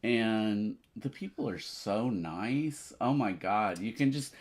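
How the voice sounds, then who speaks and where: Animated, creaky low-voiced man. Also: slow pace. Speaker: board game playthrough co-host. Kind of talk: casual chat.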